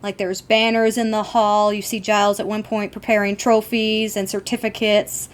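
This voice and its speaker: A woman's voice: mid-range voice, little pitch movement, normal speed. A woman co-hosting a podcast, discussing a TV show in a casual, enthusiastic, conversational way. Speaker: podcast co-host.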